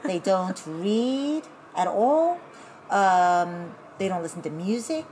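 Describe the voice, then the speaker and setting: A woman from Taiwan who grew up speaking Taiwanese, talking as a guest in a casual interview, animated and chatty with laughing asides. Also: medium pitch, slow, slight background noise, low-quality recording. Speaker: guest, burlesque performer.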